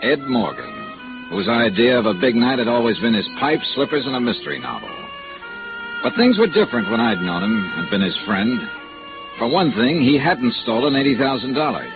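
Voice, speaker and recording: A tinny vintage radio recording with music behind it carries a hard-boiled American investigator. He is dry, sardonic and slangy, in 1950s noir style, with a highly animated delivery. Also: normal speed. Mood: wry, brisk. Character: wry, hard-boiled freelance insurance investigator, a man. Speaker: lead actor of a detective serial.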